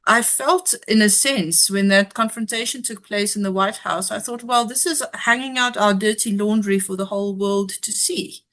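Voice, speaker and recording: A woman, a guest giving measured, analytical commentary with frequent ums. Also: medium pitch, fairly bright tone. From South Africa. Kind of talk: interview discussion.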